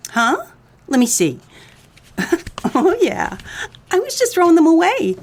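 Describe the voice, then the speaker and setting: A woman's voice: very high voice, huge pitch swings, unhurried, heavily acted. A woman playing a brassy, sassy, breezily menacing dame in a comic noir radio play. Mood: smug, teasing.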